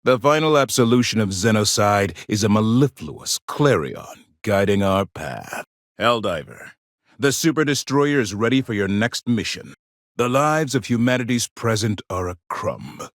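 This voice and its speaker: An actor playing a zealous military propaganda officer in a video game, speaking in a solemn, stately, oratorical manner with grandiose patriotic fervor. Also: male voice, on the low side, lively intonation, unhurried, no room sound, clean studio sound. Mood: stately, fervent. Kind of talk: theatrical video game voice acting.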